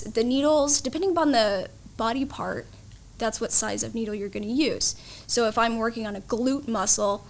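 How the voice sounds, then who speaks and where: A woman's voice: fairly high pitch, slight background noise. A woman explaining her field as a guest expert in an interview, in an instructive, matter-of-fact way.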